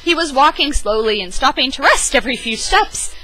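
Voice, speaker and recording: A woman narrates a children's picture book with dramatic flair. Her voice is bright and rather high, moving up and down in an animated read-aloud style. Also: brisk, faint music behind, rough recording. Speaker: storybook reader. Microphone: webcam.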